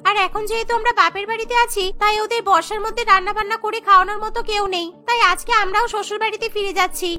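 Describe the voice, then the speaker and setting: A chirpy, self-excusing married daughter in a cartoon , voiced by a woman. The voice is extremely high and bright, with faint music behind it. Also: fairly even intonation, racing, hardly any pauses. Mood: breezy. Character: breezy married daughter heading back to in-laws. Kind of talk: cartoon story voice acting.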